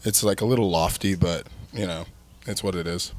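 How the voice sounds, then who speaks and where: A man's voice: sits low, moderate pace. A man in his thirties telling his band's story in a candid, rambling way, filled with "like" and "um". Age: 30s. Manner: rambling, heavy use of "like" and "um", candid. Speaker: band frontman, guest. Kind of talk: casual chat.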